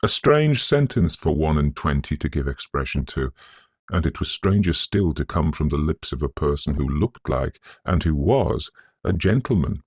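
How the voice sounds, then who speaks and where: A man reading a Victorian ghost story in a scholarly, measured, atmospheric manner. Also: very deep voice, sing-song, a little mellow, breathy edge, no echo. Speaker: narrator.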